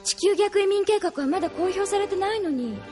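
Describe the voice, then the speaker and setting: A woman's voice: very high voice, animated, normal speed. A woman playing an earnest, eager young crew member who speaks politely in a Japanese audio drama. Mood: anxious. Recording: long reverb, faint music behind.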